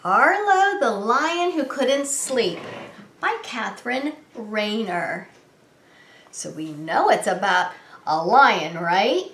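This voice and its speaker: A woman's voice: on the high side, big pitch swings, slow, fairly bright tone, a little hiss or hum. A woman hosting a preschool story time, talking to young children in a sing-song way with simple questions. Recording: roomy, echoey room sound.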